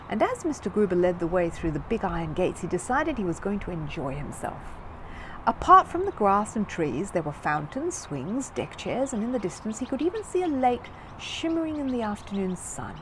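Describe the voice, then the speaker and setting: A woman narrating a children's storybook in an expressive, scripted storytelling style. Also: mid-range voice, slight background noise, poor audio. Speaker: storyteller reading a children's book aloud.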